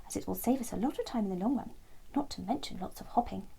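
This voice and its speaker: A woman's voice: mid-range voice, quick pace, vocal fry, dark tone, husky. Woman voicing fussy, confident male animal planner. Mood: assured. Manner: muttering, exacting.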